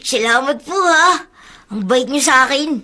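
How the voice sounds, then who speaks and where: A woman's voice: high-pitched, unhurried, bright, forceful tone. A woman with a Filipino accent playing a cheeky, tender-hearted young street boy in a radio drama, speaking in an earnest, childlike way. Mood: shy, earnest.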